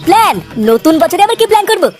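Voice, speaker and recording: A man voicing a sympathetic, scheming streetwise friend in a comic cartoon, advising earnestly in colloquial speech with a pitched-up voice. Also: big pitch swings, rapid-fire, soft background music. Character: streetwise older pal, sympathetic scheming club leader.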